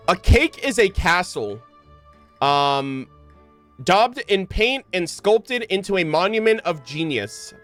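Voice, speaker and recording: High-voiced man streaming a game. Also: fairly bright tone. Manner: informal, rambling, stumbles when reading aloud. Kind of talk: casual livestream gameplay commentary with read-aloud game text. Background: video game music.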